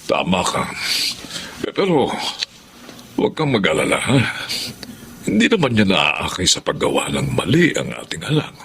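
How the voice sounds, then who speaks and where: A Filipino man playing a folksy, reassuring middle-aged father in a radio drama, expressive, with drawn-out interjections. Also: sits low, creaky, a little hiss or hum, heavily acted. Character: reassuring older father, folksy neighbour and friend.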